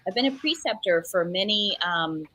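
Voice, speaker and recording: A woman in her forties from Central Florida, a clinical educator speaking on a panel in a lively, good-humored way. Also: mid-range voice, normal speed. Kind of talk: panel discussion.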